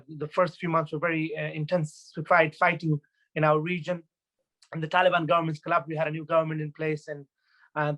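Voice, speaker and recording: A man's voice: on the high side, a bit bright, low-quality recording. A man in his thirties from Afghanistan, speaking as a guest at an event in an earnest, reflective way with many fillers and restarts. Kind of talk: personal talk at an online event.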